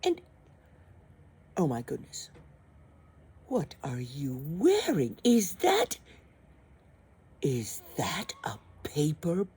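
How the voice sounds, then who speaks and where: A woman's voice: sits high, huge pitch swings, very slow, pauses often, warm tone, slightly rough, pitched above the performer's own voice, exaggerated voice. A woman doing a prissy whiny prince. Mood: disgusted. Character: fussy vain young prince, snobbish and prissy.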